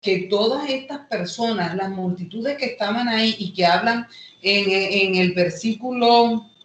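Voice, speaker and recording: Colombian coast lady doing an online bible class, kinda halting and repeats herself, roomy echo on the call. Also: medium pitch. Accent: Colombian Caribbean coast. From Caribbean coast of Colombia. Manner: halting, repeats phrases as she explains. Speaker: Bible seminary teacher. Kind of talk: online Bible lesson, explanatory teaching. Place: indoor room, online class. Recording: echoey room, online call audio.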